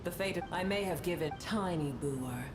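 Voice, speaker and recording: An ancient female dragon sovereign, acted by a woman, speaks in a very low, echoing voice. She is haughty and formal, a disdainful game character with music behind her. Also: moderate pace, natural voice. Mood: grave, proud. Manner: haughty, archaic, stately formal speech. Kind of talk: video game voice acting.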